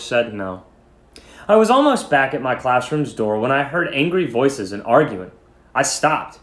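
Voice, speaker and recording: A man giving an expressive dramatic reading of a short story, phrasing it deliberately. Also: on the high side. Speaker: story reader. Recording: roomy echo.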